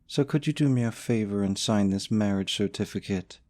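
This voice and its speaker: A man's voice: fairly low pitch, normal speed, darkish tone, lightly acted. A young man acting in an audio roleplay as a scheming, possessive boyfriend, falsely sweet and coaxing, snide about his rival. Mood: scheming, pleading.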